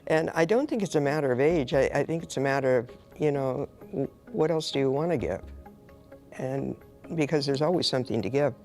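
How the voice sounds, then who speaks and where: A woman's voice: very deep voice, expressive, a little fast, darkish tone, faint music behind. A woman in her eighties, a nun, speaking thoughtfully about still having something to give. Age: 80s. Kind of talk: oral history interview.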